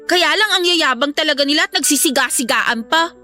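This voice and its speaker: Radio drama little sister: very high, plaintive, polite, loyal, childlike. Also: female voice, expressive, fairly quick, bright, forceful tone. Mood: aggrieved. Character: young adopted daughter, aggrieved, loyal to her brother. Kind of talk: emotional radio drama acting. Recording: music bed behind the voice.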